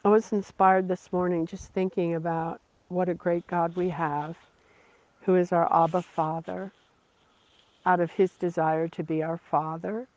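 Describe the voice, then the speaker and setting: A preacher giving a slow, earnest devotional sermon, repeating phrases for emphasis. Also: mid-range voice, level tone, darkish tone. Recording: dull lo-fi recording.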